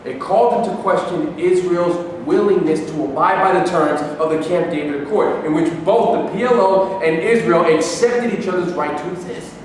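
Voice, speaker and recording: A man's voice: sits high, moderate pace, few pauses, lightly acted. A man in a staged play reading, playing an aggrieved, defiant Palestinian who argues his cause in a declamatory way. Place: reverberant room at a staged reading. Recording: very echoey hall, distant mic, faint noise.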